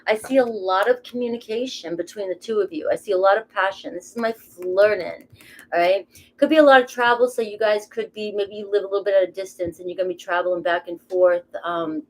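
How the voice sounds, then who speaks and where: A woman's voice: mid-range voice, a little fast, poor audio. A middle-aged woman with a Boston accent giving a casual, rambling tarot reading, swearing casually as she talks. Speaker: tarot reader.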